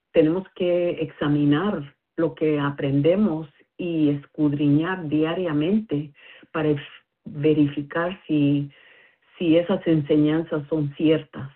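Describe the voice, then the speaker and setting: Woman, non-native speaker, slow, hesitant, lots of fillers, tinny phone line. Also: low voice. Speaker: caller. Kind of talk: bible study message.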